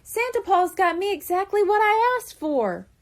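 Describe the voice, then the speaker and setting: A woman voicing an eager, excitable little mer-kitten boy in a children's storybook, exclaiming breathlessly. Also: very high voice, normal speed, pitched above the performer's own voice. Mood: thrilled.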